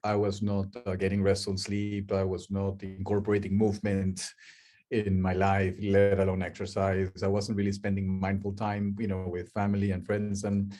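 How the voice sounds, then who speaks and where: A man's voice: deep voice, monotone, normal speed, dark tone. Peruvian-accented man on a video call. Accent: Peruvian Spanish. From Peru. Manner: chatty, asks the audience questions, many restarts. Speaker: guest speaker, life coach. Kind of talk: webinar talk.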